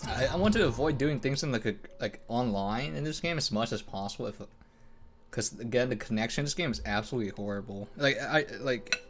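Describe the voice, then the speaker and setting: A man's voice: medium pitch, brisk, faint music behind. A man live-streaming a video game with casual, rambling, sweary commentary.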